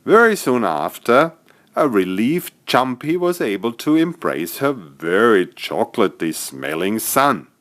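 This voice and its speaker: A man narrating a scripted bedtime story for small children as its author, in an expressive storytelling manner. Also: mid-range voice, a little slow. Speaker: author narrating own stories.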